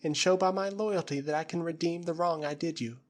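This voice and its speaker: A man's voice: a little high, fairly quick, voice pushed up, lightly acted. A male audiobook reader voicing a remorseful young woman servant who pleads eagerly to stay and serve. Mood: pleading.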